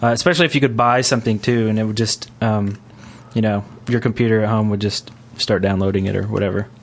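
A man's voice: lowish voice, fairly even intonation, fast. A man hosting a gaming podcast in a casual, conversational style. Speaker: podcast host.

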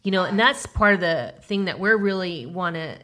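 A woman's voice: lowish voice, lively intonation, normal speed, bright, forceful tone. A woman in her fifties hosting a podcast, talking in a chatty, agreeable way with many fillers. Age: 50s. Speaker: podcast host. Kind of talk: casual chat.

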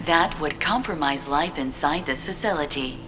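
A woman playing a polite, obedient AI assistant in an audio drama, speaking in formal, measured sentences. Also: low-pitched, background noise, natural voice. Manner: formal, measured, matter-of-fact.

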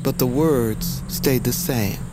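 A man giving a grave, measured, literary narration of a dramatic story. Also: medium pitch, rough recording. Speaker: narrator of an audio fiction story. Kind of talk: solemn scripted story narration. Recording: music bed behind narration.

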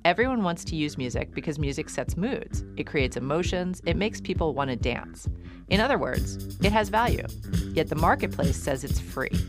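A woman hosting a radio show about music, reading a scripted intro and then asking interview questions in a measured, conversational way. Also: low voice. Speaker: radio show host and record label owner.

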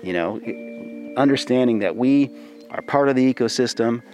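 A man's voice: mid-range voice, moderate pace, a little mellow, soft background music. A man researcher explaining things conversationally in an interview, often adding "you know". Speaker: wildlife researcher.

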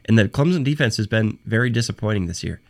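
A young man with a fairly low voice hosts a sports talk show on his own. He is hoarse from a cold and his voice is fading. He talks off the cuff in halting bursts, restarting phrases and doubling words. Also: animated. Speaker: podcast host. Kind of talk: solo sports talk podcast.

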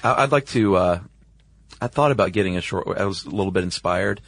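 American guy w a lowish voice, casual podcast chat, laid back wording, really dry close sound. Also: brisk, fairly bright tone, dry recording. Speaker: podcast co-host.

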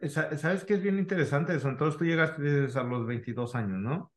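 A man's voice: a little high, brisk. Hesitant man hosting a podcast. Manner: hesitant, many fillers and restarts, mixes English into Spanish. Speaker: podcast host. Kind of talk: casual interview chat.

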